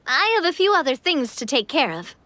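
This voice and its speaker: A woman's voice: high voice, big pitch swings, fairly quick, pushed and bright, slight background noise, heavily acted. A woman playing an upbeat, confident young female city leader in an anime game, with a playful, casual manner. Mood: explaining, upbeat. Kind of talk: anime game dub dialogue.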